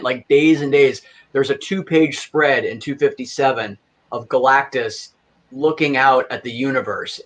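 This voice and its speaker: Guy with a mid-pitched voice, casual guest chat, earnest and detailed, says like a lot. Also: a bit bright. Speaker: fan audio comic creator, guest.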